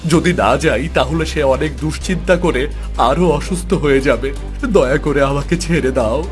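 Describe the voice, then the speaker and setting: A man's voice: a little high, moderate pace, soft background music, natural voice. A man playing a frightened married man trapped in a cave, imploring dramatically in a fairy tale cartoon. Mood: pleading.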